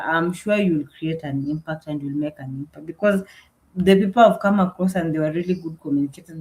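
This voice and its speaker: Low-voiced woman hosting. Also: a little mellow, rough recording. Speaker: host. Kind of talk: live session wrap-up.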